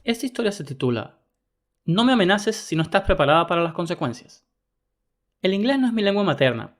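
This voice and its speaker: A man narrating first-person internet stories in a brisk, even reading style. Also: fairly high pitch. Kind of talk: scripted story narration.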